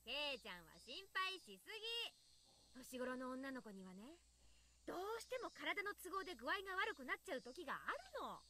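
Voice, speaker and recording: Very high, girlish anime character. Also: big pitch swings, fast. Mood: teasing. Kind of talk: Japanese anime game voice acting. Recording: game audio with background noise.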